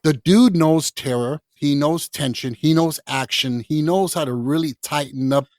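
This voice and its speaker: A man hosting a casual film podcast, excitable, laughing often and swearing freely. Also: a little high, moderate pace, dry recording, clean studio sound. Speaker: podcast host.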